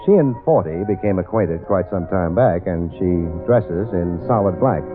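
A wry, hard-boiled private detective, talks in a fairly low voice, hesitant with many "uh"s. The old radio broadcast sounds tinny, with music behind it. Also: male voice, expressive, moderate pace. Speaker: radio drama actor and narrator. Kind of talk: 1940s radio acting.

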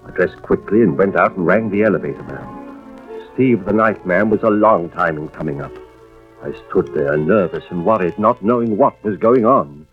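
An actor playing a troubled, anxious insurance investigator with a hesitant, brooding delivery in a 1940s radio drama. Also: male voice, sits low, normal speed, lightly acted. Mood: brooding, uneasy. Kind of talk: 1940s radio drama acting, first-person narration. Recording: dull vintage radio recording with music behind.

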